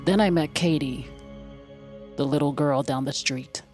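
A lowish woman's voice narrating an audio drama as a grown daughter looking back. Her delivery is measured and wistful, over faint music. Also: a little mellow. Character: reflective grown daughter recalling her childhood. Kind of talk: scripted first-person audio drama narration.

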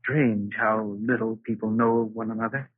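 A man's voice: fairly low pitch, steady tone, moderate pace, slightly rough, dry recording. A man playing a mild-mannered, henpecked bookkeeper husband with buried bitterness in a 1940s radio drama. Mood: confessional. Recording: tinny, dry vintage radio recording.

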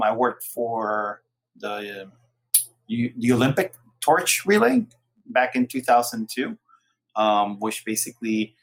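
A man in his forties from Puerto Rico, a lawyer and interview guest, recounting his career in a relaxed storytelling way. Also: medium pitch, low-quality recording. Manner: relaxed storytelling, occasional laughs. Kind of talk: casual interview.

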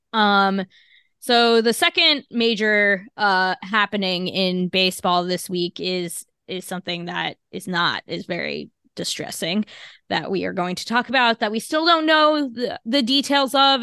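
A woman co-hosting a casual podcast, talking in a halting, measured way with many fillers and restarts. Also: mid-range voice, a bit bright, smooth, no echo, clean studio sound. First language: English. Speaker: podcast co-host.

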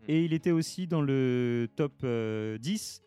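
A man's voice: medium pitch, animated, dark tone, smooth, soft background music. A man hosting a casual video game news chat, handing off segments and joking with his co-hosts. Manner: hands off segments, quick jokey interjections. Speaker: podcast host.

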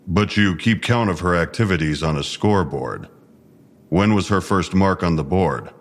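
A man's voice: low voice, animated, moderate pace. An actor playing a stern male police detective in an audio drama, questioning formally with a dry, sarcastic edge. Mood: formal, probing. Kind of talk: audio drama acting, recorded interview.

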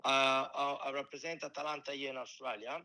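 A man in his forties with a strong Italian accent, a football coach speaking in an interview, halting and restarting as he searches for words in English. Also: mid-range voice, little pitch movement, bright, forceful tone. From Italy. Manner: halting, many restarts and fillers. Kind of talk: casual interview answers.

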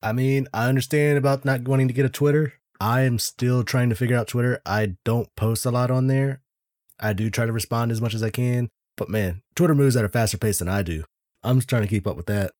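A man co-hosting a casual podcast, relaxed and unhurried. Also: medium pitch, no echo, studio quality. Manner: laid-back, brief replies. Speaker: podcast co-host.

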